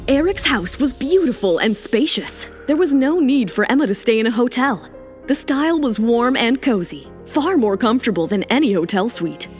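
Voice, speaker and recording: A woman reading scripted, dramatic narration for a serialized romance audio story. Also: high voice. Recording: music under the voice.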